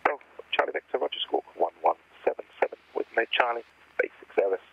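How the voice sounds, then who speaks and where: A man's voice: mid-range voice, lively intonation, a little fast, creaky, a little hiss or hum. A man working as a flight information officer, speaking clipped radio phraseology over an aircraft radio. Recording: muffled aircraft radio transmission.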